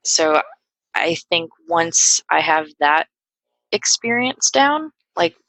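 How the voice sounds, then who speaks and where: A woman's voice: fairly low pitch, lively intonation, slow, fairly bright tone, dry recording, poor audio. A young woman guest on a podcast, talking casually and hesitantly with lots of fillers and restarts. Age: young adult. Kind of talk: casual conversational interview.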